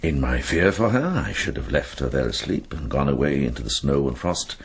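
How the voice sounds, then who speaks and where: A kindly older British monk in a radio drama, with a very deep, gravelly voice. He is gentle and reassuring, with lively rises and falls, over faint noise. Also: normal speed, exaggerated voice. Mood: earnest, consoling. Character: kindly, steady medieval monk and healer, older man.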